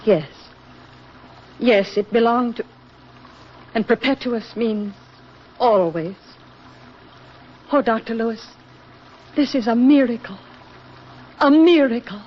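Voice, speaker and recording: An actress playing an earnest, emotional hospital attendant, dramatic in 1940s radio style. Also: female voice, fairly high pitch, slow pace, long pauses. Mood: awestruck. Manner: urgent, dramatic. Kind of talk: 1940s radio melodrama acting. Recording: dull 1940s radio recording, faint noise.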